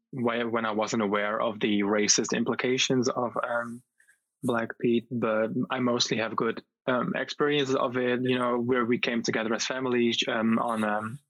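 A young man from the Netherlands, a podcast guest, talking modestly and hesitantly with many pauses. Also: medium pitch, fairly bright tone, low-quality recording. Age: young adult. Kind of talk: casual podcast conversation.

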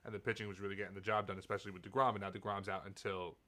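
A man's voice: lowish voice, level tone, racing, pushed and bright. A man, co-hosting a casual sports podcast with joking, hyped-up banter. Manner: joking, hype. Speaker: podcast co-host, audio engineer.

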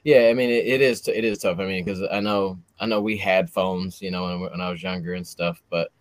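Brief: 30s male podcast host, low voice, loose and chatty, dry close sound. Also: steady tone, fairly quick, no echo. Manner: rambling, heavy on fillers like "like" and "you know". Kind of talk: casual interview chat.

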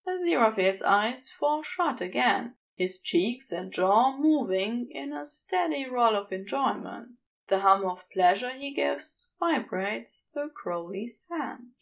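A woman reading a piece of fiction in a measured, deliberate, intimate storytelling style. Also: fairly high pitch, animated, vocal fry, low-quality recording. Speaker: amateur audiobook narrator.

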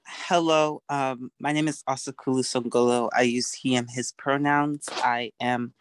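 A young man from the United States introduces himself as a podcast guest over a video call. He speaks earnestly in a flat, level voice with many small fillers. The sound is dry and close. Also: mid-range voice, measured pace, smooth, dry recording. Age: young adult. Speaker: guest, youth activist.

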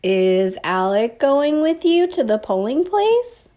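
Woman reading as affectionate, gently teasing mom. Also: high-pitched, sing-song, takes it slowly, full character voice. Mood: amused, disbelieving.

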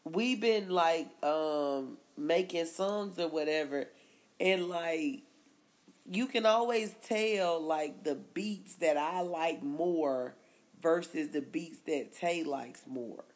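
A woman's voice: low-pitched, slow, darkish tone. A woman, co-hosting a podcast in loose, slangy chat and laughing often. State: stuffy nose. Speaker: podcast co-host. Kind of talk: casual chat.

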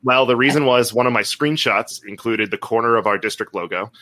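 A man's voice: medium pitch, a little fast, pushed and bright. A man talking candidly in a casual podcast conversation as a host, acting out quotes as he tells anecdotes. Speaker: podcast host and school administrator.

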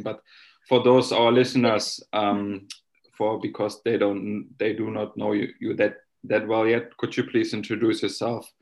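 Finnish-accented male co-host, low gravelly voice, halting and searching for words. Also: poor audio. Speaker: podcast co-host. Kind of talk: casual podcast interview.

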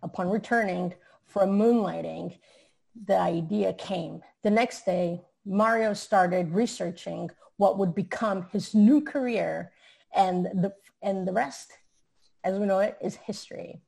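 A woman co-hosts an interview in a mid-pitched voice with a strong gravelly fry. She speaks casually and a bit hesitantly, with fillers and restarts. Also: measured pace, darkish tone. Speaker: podcast co-host.

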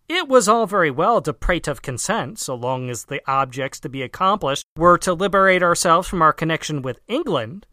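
A narrator reading a formal political essay aloud in a measured, emphatic way. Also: mid-range voice, bright, forceful tone, no echo, studio quality. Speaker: audiobook reader.

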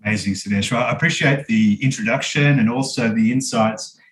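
A man's voice: medium pitch, a little fast. A man hosting a podcast conversationally, appreciative, with hesitant phrasing and repeated words. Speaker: podcast host. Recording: roomy echo, faint background noise.

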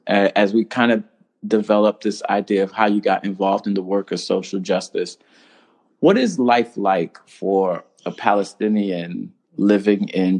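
A man's voice: low-pitched, darkish tone. A man hosting a conversational podcast interview in an affirming, appreciative way. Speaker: podcast host.

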